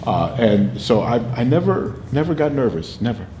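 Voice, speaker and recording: A booming older man tells his stories with animated energy, often breaking into laughter. His voice sits at a middle pitch and sounds roomy, with faint noise beneath it. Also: normal speed. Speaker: actor and drama teacher. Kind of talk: interview storytelling.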